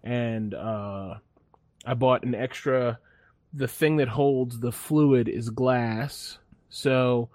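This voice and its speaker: A man with a middle-pitched voice tells a meandering story in a relaxed, easygoing way. He stumbles through restarts and fillers, and the recording sounds dry and close with no room echo. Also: extremely slow. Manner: rambling, laid-back storytelling with many fillers and restarts. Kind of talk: casual chat.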